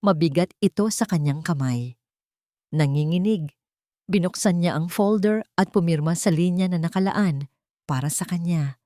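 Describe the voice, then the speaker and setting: A woman's voice: lowish voice, moderate pace, dark tone, dry recording, studio quality. A woman reading a scripted dramatic story as its narrator.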